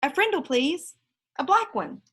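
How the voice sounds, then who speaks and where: Cheeky fifth-grade boy for a storybook, played by a woman: high, lively, innocently bold. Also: unhurried, exaggerated voice. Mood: polite, sly.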